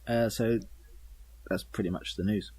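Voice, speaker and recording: Husky man hosting a chat. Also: on the low side, steady tone, unhurried, a little mellow. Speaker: podcast host. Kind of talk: casual panel chat about games news.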